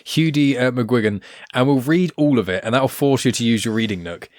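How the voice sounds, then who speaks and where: A man's voice: medium pitch, a little fast, darkish tone, no room sound. Chatty male podcast host, dry sound. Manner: chatty, enthusiastic, many restarts. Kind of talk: casual chat.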